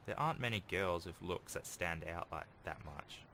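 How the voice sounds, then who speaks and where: A man's voice: sits low, lively intonation, normal speed, pushed and bright. A man hosting a video game playthrough, reading a visual novel aloud with casual, self-deprecating asides. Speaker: let's play host.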